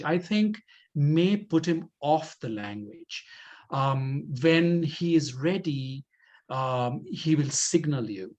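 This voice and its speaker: A man presenting a community project in an informal question-and-answer talk, deliberately repeating phrases for emphasis. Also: a little high, highs cut off.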